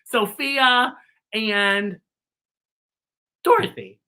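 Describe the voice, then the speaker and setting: Woman, children's presenter, high voice, unhurried pace, exaggerated and playful delivery. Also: sharp tone, clean tone. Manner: exaggerated, theatrical, giggly. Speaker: children's story time host. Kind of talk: playful children's show presenting with letter lessons and singing.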